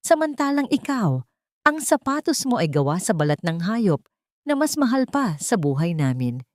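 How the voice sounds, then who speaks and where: A woman's voice: mid-range voice, sing-song, moderate pace, a little mellow, no room sound, studio quality, close to an everyday voice. A woman with a Filipino accent playing a bitter, grieving poor mother, reproachful and emphatic, in an audiobook drama.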